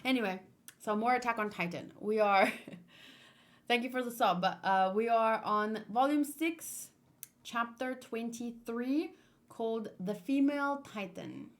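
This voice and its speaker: A woman livestreaming in a casual, expressive and playful way, chatting and commenting as she reads aloud. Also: fairly high pitch, a little slow. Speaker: streamer hosting a manga read-along.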